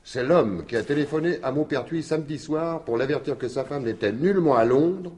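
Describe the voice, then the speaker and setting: A man's voice: on the high side, a little fast, darkish tone, slightly rough. An actor playing a shrewd, insistent detective in a French radio mystery in 1950s style, explaining his theory with emphatic deliberation. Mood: insistent, certain.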